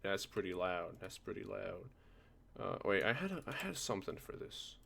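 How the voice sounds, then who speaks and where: A man presenting a software tutorial informally, hesitating and thinking aloud as he goes. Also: deep voice, sing-song, moderate pace, creaky. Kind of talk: casual screen-recorded software tutorial. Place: indoors at a computer. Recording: noisy home desktop recording, keyboard clicks. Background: keyboard typing, background noise.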